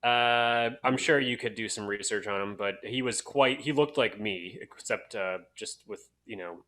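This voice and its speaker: Bright, low-pitched man chatting casually. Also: moderate pace. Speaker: podcast host. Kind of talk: casual podcast chat.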